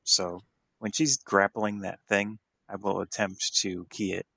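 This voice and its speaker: Deep, gravelly man, dry close sound. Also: little pitch movement, measured pace, sharp tone, no room sound. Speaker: player. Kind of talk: casual tabletop play over voice chat.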